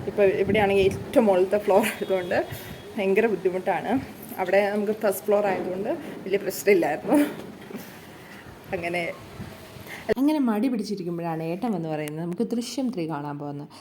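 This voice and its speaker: A woman's voice: medium pitch, brisk, long pauses, background noise. A woman with a Malayali accent narrating her day as a vlogger in a casual, conversational, homely storytelling way. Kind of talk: casual daily vlog narration.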